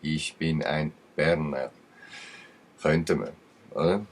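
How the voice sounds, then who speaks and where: Swiss German male commentator, very deep voice, husky tone. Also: slow. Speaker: channel commentator. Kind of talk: rambling spoken commentary.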